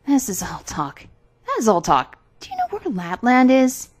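A woman's voice: fairly high pitch, huge pitch swings, moderate pace, voice pushed up. A woman voicing a wild little robber girl in a storybook, bossy and emphatic, fierce but fond. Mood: threatening, stern. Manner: commanding, emphatic. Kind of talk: storybook character voice.

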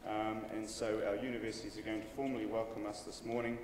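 A man's voice: on the low side, fast talker. Hesitant man announcing in a roomy hall. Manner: relaxed, joking, many ums and uhs. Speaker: event MC. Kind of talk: housekeeping announcements at a summit. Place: conference room, at a lectern. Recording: roomy hall reverb, distant mic, faint hiss.

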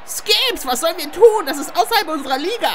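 A man's voice: very high voice, animated, a little fast, bright, forceful tone, slight background noise, heavily acted. A man voicing a scrappy, panicky young male raccoon in a cartoon fan dub, whiny and full of exclamation. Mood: panicked.

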